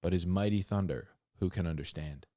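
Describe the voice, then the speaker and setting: A man hosting a Bible reading, mixing scripture with informal, slangy commentary and animated asides. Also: sits low, fairly quick, dry recording, studio quality. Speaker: host of a Bible reading show.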